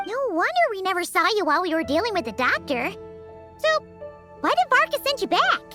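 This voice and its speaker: A woman's voice: very high-pitched, big pitch swings, brisk, bright, forceful tone, heavily acted. A woman voicing a tiny floating female companion in a video game, brash and chirpy, referring to herself by name. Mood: cheeky. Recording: game audio with background music.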